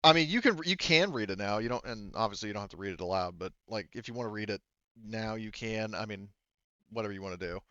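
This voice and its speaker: Low male voice, halting game master, casual, dry and slightly muffled recording. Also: expressive, bright, forceful tone, no echo. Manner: halting, hedging. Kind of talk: casual game-running talk among players. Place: online voice session.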